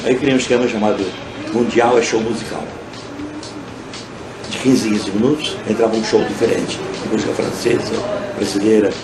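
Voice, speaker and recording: Low-voiced Brazilian man in a noisy room. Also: moderate pace. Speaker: interviewee recalling radio work. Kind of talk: spontaneous interview recollection. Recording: roomy, clearly noisy interview recording.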